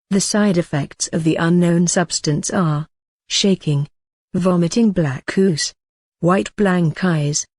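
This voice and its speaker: Flat synthetic emergency announcer. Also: mid-range voice, no echo, studio quality. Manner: flat, formal, measured delivery. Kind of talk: scripted emergency alert announcement.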